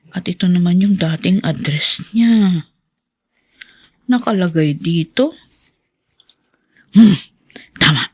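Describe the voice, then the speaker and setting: A woman's voice: fairly low pitch, husky, no room sound, clean studio sound, exaggerated voice. An actress playing a suspicious, protective wife and mother in Filipino radio drama, with an emotive, pleading delivery. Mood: secretive, curious.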